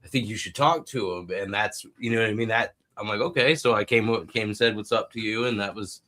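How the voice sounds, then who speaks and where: A man co-hosting a podcast, telling personal anecdotes in a halting, colloquial, casual way. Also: on the low side, bright, forceful tone. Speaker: podcast co-host.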